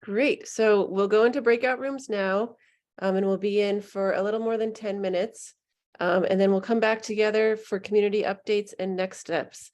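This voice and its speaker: A woman's voice: medium pitch, steady tone, normal speed, darkish tone. A woman hosting an online public meeting over a video call, upbeat and chatty with some ums. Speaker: meeting host.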